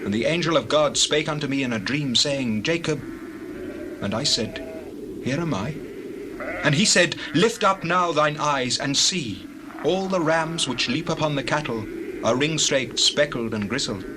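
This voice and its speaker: An actor playing an earnest, righteous shepherd in a dramatized Bible reading, with declamatory delivery. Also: male voice, fairly high pitch, lively intonation, a little slow. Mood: aggrieved, testifying. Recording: noisy scene with sheep and bird effects.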